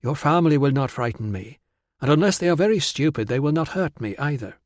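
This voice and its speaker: A man's voice: on the high side, lively intonation, a little fast, no echo, clean studio sound, pitched above the performer's own voice, lightly acted. A man voicing a firm, impatient married woman in an audiobook, curt and no-nonsense. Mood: determined. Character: firm, impatient married British tribeswoman, sceptical. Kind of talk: audiobook character voice.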